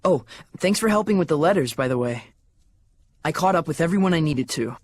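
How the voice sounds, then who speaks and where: A man's voice: fairly high pitch, animated, a little fast, husky, no echo. An actor playing a youthful male companion, dry, composed and articulate, in a fantasy game scene. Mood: dry, casual. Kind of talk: dubbed fantasy game cutscene acting. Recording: orchestral music behind the voice.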